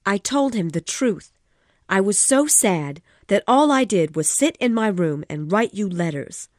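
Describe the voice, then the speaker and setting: A man voicing an angry, heartbroken noblewoman in an audiobook, loud and shrill. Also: expressive, a little slow, no room sound, clean studio sound. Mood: upset. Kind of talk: audiobook reading.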